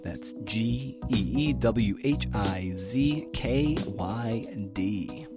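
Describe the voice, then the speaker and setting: A man with a slightly low voice presents as a podcast host, reading scripted introductions. Music plays clearly behind him. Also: expressive, very slow.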